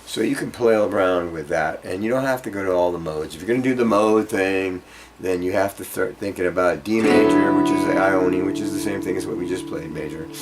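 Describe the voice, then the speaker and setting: A man with a low-pitched voice teaches an informal music workshop. He wanders through his explanations, thinking out loud and poking fun at himself, with prominent guitar playing alongside his talk. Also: brisk, low-quality recording. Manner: rambling, self-deprecating, thinking aloud. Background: acoustic guitar being played.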